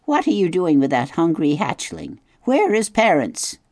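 A woman voicing a blunt, suspicious old female goose in an audiobook, talking in a brisk, scolding way. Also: lowish voice, sing-song, a little mellow, no room sound, studio quality, pitched above the performer's own voice. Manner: brisk, scolding, matter-of-fact. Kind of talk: audiobook reading.

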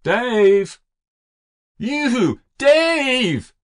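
A man narrating puts on a stern country mother from a storybook, with a rural Southern US accent. Her voice is lifted and very animated, blunt and exasperated, recorded dry and close. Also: mid-range voice, normal speed, no room sound, voice pushed up. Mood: shouting. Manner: scolding, dismissive, blunt. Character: stern, scolding rural mother, adult woman, exasperated but loving.

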